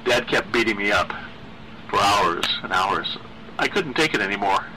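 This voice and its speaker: A man voicing a timid, unhinged teenage boy in a story, with a halting, high-pitched stutter. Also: expressive, noisy background, close to an everyday voice. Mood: morbid, hurt. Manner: halting, repeating words as he stammers.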